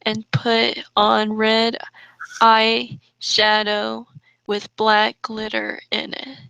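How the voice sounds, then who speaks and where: Bright, very slow-paced woman, deadpan. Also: fairly high pitch, creaky, clean tone, no room sound, poor audio. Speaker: co-host reading bad fan fiction aloud. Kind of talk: comic read-aloud of trashy fiction.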